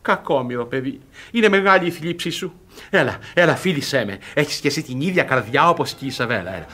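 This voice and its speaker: An actor playing a smug, gullible older guardian in a radio theatre comedy, fussy and exclamatory. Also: male voice, mid-range voice, lightly acted. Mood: pitying, smug. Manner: fussy, exclamatory, repeats words excitedly.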